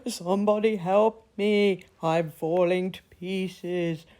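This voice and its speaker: A woman's voice: lowish voice, steady tone, takes it slowly, mellow. A woman voicing a timid young male fish who wails and cries in a storybook. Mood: tearful. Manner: wailing, tearful.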